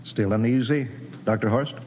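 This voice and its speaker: An actor playing a commanding, disbelieving spaceship captain in an old-time radio science fiction drama, giving clipped, authoritative orders. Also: male voice, medium pitch, normal speed, husky, close to an everyday voice. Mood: commanding, steady. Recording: muffled, noisy vintage radio recording.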